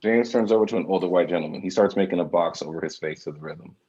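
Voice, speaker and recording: A man's voice: deep voice, fast talker, darkish tone, breathy edge, muffled recording. A man reading out screenplay directions and speaking casually as the writer at a table read. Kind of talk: screenplay narration.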